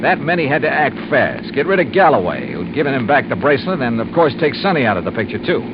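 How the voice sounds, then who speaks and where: A man's voice: medium pitch, very animated, fast talker, natural voice. A man playing a wry, shrewd insurance investigator in a 1950s radio detective drama, narrating and talking in a clipped, hard-boiled way. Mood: matter-of-fact, shrewd. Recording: muffled, noisy old radio recording.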